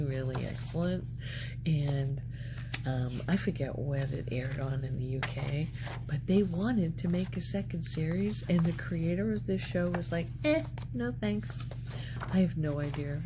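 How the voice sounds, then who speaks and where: A middle-aged woman rambling in a soft, breathy ASMR voice that often slips into a whisper, musing aloud in an unhurried way. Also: rumbling low. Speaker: ASMR video creator. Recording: loud steady background noise.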